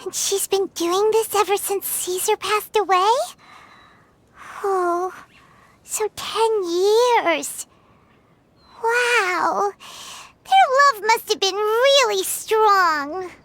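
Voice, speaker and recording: Tiny, excitable girl companion; very high, bright voice over game music. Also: drawn-out words, pauses often, exaggerated voice. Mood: amazed. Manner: high squeaky, wide-eyed wonder.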